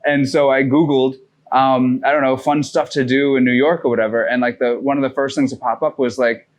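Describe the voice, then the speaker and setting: A man's voice: medium pitch, level tone, a little fast. A man from the North Shore of Massachusetts, a podcast guest telling a long, rambling story in casual chat, swearing freely and restarting his sentences. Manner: profane, rambling, loose with fillers and restarts.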